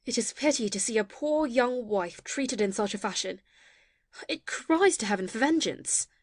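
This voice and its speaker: Woman as a sly maidservant, brightly pitched, reproachful. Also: animated, moderate pace, no room sound. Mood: mock outrage. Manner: mock-pitying, reproachful. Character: sly maidservant loyally backing her mistress. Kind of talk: audio play of classic stage comedy.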